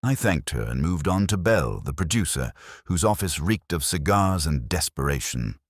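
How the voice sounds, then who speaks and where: Male narrator for hard-boiled detective fiction, very deep, husky, wry. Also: big pitch swings, normal speed, no room sound, clean studio sound. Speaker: audiobook narrator. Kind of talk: scripted first-person detective story narration.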